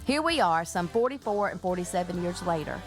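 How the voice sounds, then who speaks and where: A woman's voice: fairly low pitch, lively intonation, brisk, faint music behind. A woman in her forties hosting a radio morning show, chatting in a rambling, folksy way and laughing often. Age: 40s. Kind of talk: casual radio interview.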